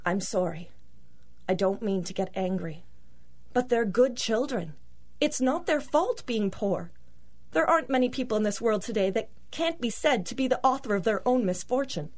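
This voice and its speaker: A protective orphanage matron from a period radio play. She speaks in a fairly high voice, stern and earnest, with righteous conviction. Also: animated, normal speed. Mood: apologetic, pleading. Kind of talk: 1930s-set radio drama acting.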